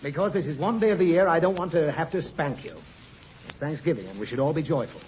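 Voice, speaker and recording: A man's voice: fairly high pitch, fast talker, natural voice. A man playing a fussy, easily flustered father reasoning with his small daughter in a 1940s radio comedy. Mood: exasperated. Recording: muffled, noisy 1940 radio, studio audience.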